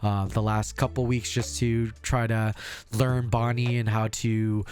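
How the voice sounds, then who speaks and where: A man casually walking through his card game deck, pausing often with fillers as he explains each card. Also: lowish voice. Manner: relaxed, many fillers and uh's. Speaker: card game content creator. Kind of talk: casual deck profile walkthrough.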